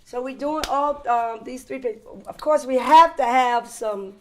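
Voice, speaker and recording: A middle-aged woman with a hoarse voice, teaching a cooking lesson in a home kitchen in a motherly, instructive way with talk of God. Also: high-pitched, unhurried. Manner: motherly, instructive, breaks into loud laughter. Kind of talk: casual cooking chat with church talk.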